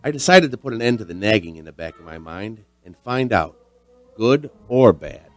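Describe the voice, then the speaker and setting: A man's voice: fairly low pitch, lively intonation, moderate pace, dry recording, close to an everyday voice. A man playing a chatty veteran barman in a radio drama, telling an uneasy mystery in a folksy, rambling way. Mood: uneasy, curious. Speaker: narrator and lead character. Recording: music behind the voice.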